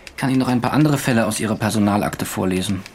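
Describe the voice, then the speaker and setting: An actor playing a formal, relentless police interrogator in a German radio drama, controlled and pointed. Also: male voice, lowish voice, rapid-fire, darkish tone, a little hiss or hum, close to an everyday voice. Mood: cool, insistent.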